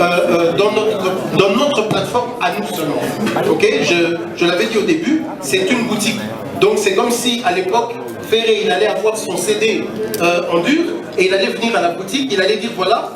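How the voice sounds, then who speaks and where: A man with a high voice answers at a press conference, stumbling through fillers and restarts. He sounds far from the mic in a very echoey hall. A crowd murmurs behind him. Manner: hesitant, many fillers and restarts. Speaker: platform representative at press conference.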